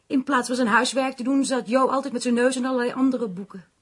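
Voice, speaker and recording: An actress in a Dutch radio play playing a concerned, gossipy woman who confides dramatically in her friend, with a husky voice. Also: high voice, fairly even intonation, fast talker. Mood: agitated, probing. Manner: dramatic, lingering over grim news.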